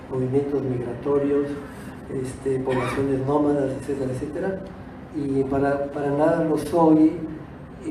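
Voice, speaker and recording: A man whose first language is Italian, giving an unscripted, reflective talk as a guest author, hesitating and rewording as he goes. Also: on the high side. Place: auditorium at a book fair. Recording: distant echoey auditorium, noisy background.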